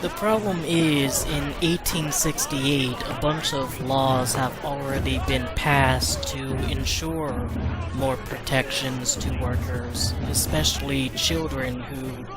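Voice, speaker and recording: Male gaming host, casual commentary, unhurried slow delivery, medium pitch, loud game music behind. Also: steady tone, a loud crowd. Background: game music and urban game sounds.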